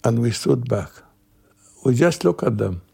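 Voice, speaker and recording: A man in his eighties from Iraq with an Iraqi Arabic accent, a native Arabic speaker, telling personal memories in halting, repetitive phrases. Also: mid-range voice, sing-song, gravelly, mellow, breathy edge. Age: 80s. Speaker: interviewee recalling his youth. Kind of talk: personal oral storytelling.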